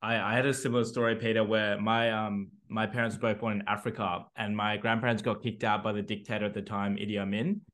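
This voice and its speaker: A man's voice: on the low side, level tone, quick pace, hardly any pauses, a bit bright. A man talking casually as a podcast co-host. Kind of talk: casual conversation.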